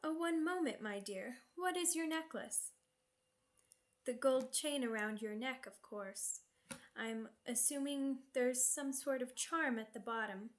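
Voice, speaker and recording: A woman's voice: sits high, measured pace. A woman playing a seductive, sadistic vampire noblewoman in an ASMR roleplay, speaking formally and teasingly, savoring each phrase. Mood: smug, silky.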